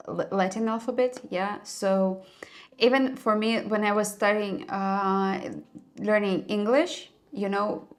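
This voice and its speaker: A young Russian woman with a Russian accent, speaking English as a second language and chatting casually as she reacts to a video, with many fillers and pauses. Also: medium pitch.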